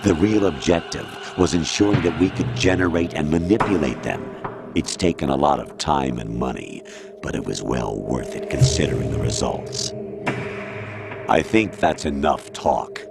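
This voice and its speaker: An actor playing a cold, commanding artificial intelligence that speaks in a calm, measured, menacing way, in a video game cutscene. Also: male voice, rumbling low, vocal fry, over music, heavily acted. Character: cold commanding AI posing as a colonel. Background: loud tense score.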